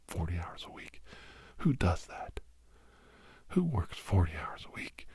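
A middle-aged American man whispering a rambling, conspiratorial comic ASMR monologue in a halting way, breathy and close to the microphone. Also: sits low, very animated, a little mellow. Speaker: podcast host. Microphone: close-up microphone, monitored on headphones.

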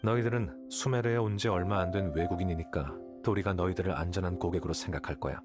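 An actor playing an aloof, calculating young scholar in a video game, speaking in a detached, measured, condescending way. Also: male voice, low-pitched, lightly acted. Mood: curt, businesslike. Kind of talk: video game character dialogue. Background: game music.

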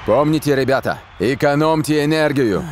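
A man's voice: on the high side, lively intonation, normal speed, noisy background, close to an everyday voice. A man voicing a laid-back, loyal football captain in a dubbed cartoon. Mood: cautioning.

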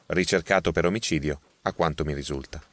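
A man voicing a calm, phlegmatic detective who speaks in an unhurried, reassuring way. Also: extremely low pitch, no room sound.

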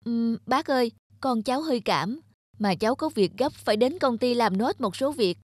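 A woman playing a worried, polite young working mother in an animated cartoon. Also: on the high side, normal speed, no room sound, clean studio sound. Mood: worried, pleading. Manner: polite, deferential. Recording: music under the voice.

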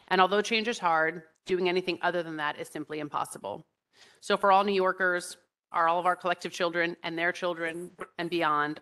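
A woman's voice: fairly low pitch, a bit bright. A middle-aged woman reading a prepared statement as a public official at a meeting, formal and earnest with light asides. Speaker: state housing commissioner, council member. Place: indoor meeting room, on a video conference feed.

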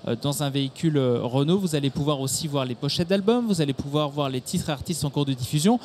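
A man's voice: fairly high pitch, fast talker, a little mellow. A man in his thirties with a French accent, giving articulate explanations as an executive guest in a promotional interview. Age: 30s. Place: exhibition studio stand at a motor show. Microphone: handheld microphone. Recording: clear background ambience noise.

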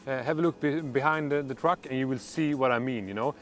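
Swedish-accented middle-aged man, mid-pitched, eager product pitch with fillers, outdoors at night, faint music behind. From Sweden. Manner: enthusiastic salesman, halting with fillers. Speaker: product presenter. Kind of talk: product demonstration vlog. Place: dark countryside road at night, outdoors.

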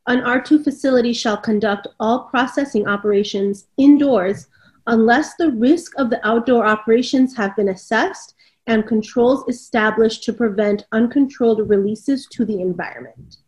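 A woman in her thirties with a somewhat high-pitched voice reads formal text aloud, adding short linking remarks. She is speaking from home on a video call. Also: normal speed, a little mellow. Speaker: co-host reading the standard. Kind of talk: reading a standard aloud with brief remarks.

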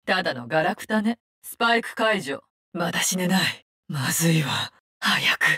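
An actress playing a cold, vengeful poison-wielding assassin in a Japanese-dubbed video game, speaking in curt, contemptuous, commanding lines. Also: female voice, lowish voice, expressive, moderate pace, bright, forceful tone, breathy edge, full character voice.

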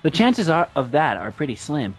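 A composed anime schoolboy, voiced by a man, speaking in a fairly high register. He is polite and mock-solemn, in fan dub style, with faint music behind. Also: sing-song, normal speed, breathy edge, heavily acted. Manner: mock-solemn, joking asides.

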